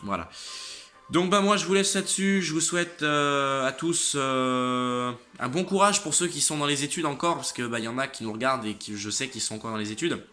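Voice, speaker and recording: A worn-out young man in his late teens gives his viewers a casual video update. His voice has a bright, forceful edge, though fatigue and a slight cold leave him congested and stumbling. His sentences stall and restart, and faint music plays behind him. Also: medium pitch. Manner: halting, trailing off and restarting sentences. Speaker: motorcycle channel host.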